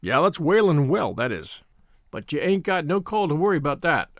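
A man's voice: fairly high pitch, very animated, moderate pace, no room sound, clean studio sound. A man voicing a grave, superstitious old shepherd with rustic English dialect, in a storybook reading. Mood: genial. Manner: dialect speech, solemn warnings.

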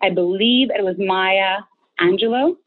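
A woman's voice: mid-range voice, a little slow. A woman from Ukraine with a Ukrainian accent, a guest telling stories in a casual interview and laughing often. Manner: laughs often, animated storyteller. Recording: tinny phone-like line.

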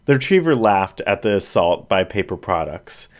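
A man's voice: deep voice, big pitch swings, normal speed. Man reading a story aloud. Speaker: narrator. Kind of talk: storybook narration.

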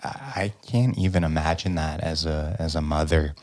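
A man's voice: a bass voice, steady tone, normal speed, a little mellow, no echo. A man hosting a podcast, chatting casually and upbeat with a guest, swearing now and then. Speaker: podcast host. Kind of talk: casual interview chat.

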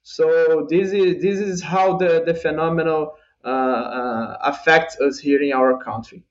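A man with a Brazilian accent speaks in a slightly higher register than most men, stopping and restarting his phrases often. English is clearly not his first language. The audio is somewhat dull and muffled, like a remote call. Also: fairly even intonation. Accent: Brazilian Portuguese. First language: Portuguese. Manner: halting, many repeated words and restarts. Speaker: guest UFO researcher. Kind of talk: podcast interview answers.

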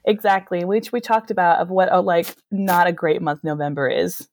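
A woman co-hosting a casual podcast, upbeat and relaxed, with frequent fillers. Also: fairly low pitch, moderate pace, slight background noise. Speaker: podcast co-host.

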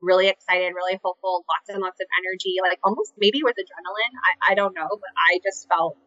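A woman's voice: on the low side, steady tone, quick pace, pushed and bright, dry recording, highs cut off. A woman in her late thirties telling a personal story in a candid, chatty way, with many "like" fillers. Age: 30s. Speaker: podcast guest.

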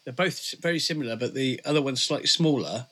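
Laughing man, bright husky voice. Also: mid-range voice, quick pace. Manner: laughing, loose, trailing off. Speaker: podcast guest. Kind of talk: casual chat. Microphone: large condenser studio mic.

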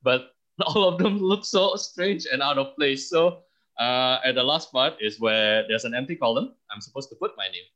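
Young man from Indonesia, guest storyteller, animated; bright, tense tone, dull recording. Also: mid-range voice, normal speed. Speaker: podcast guest. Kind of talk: storytelling in an interview.

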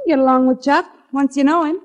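A woman's voice: high-pitched, steady tone, fast, close to an everyday voice. An actress playing a polite, helpful woman of a wealthy household in a 1940s radio drama, courteous and composed. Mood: friendly. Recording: dull 1940s radio recording.

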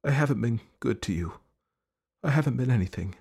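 A man voicing a sad, gentle mother in a story, soft, light and tired. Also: mid-range voice, normal speed, gravelly, voice pushed up. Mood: remorseful. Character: sad, weary, gentle mother of a young girl.

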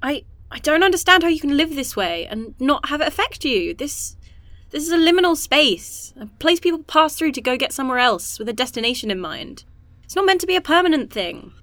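High-voiced, homesick young woman. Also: very animated, a little fast, a bit bright, no room sound. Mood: frustrated, homesick. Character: homesick, restless, unhappy young woman stuck on train. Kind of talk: audio drama dialogue, naturalistic.

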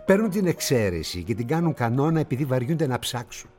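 Older male publisher for radio drama, played by an actor: cynical, patronizing, very animated pitch, music behind. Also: lightly acted. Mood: lecturing. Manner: theatrical, patronizing, with musing pauses.

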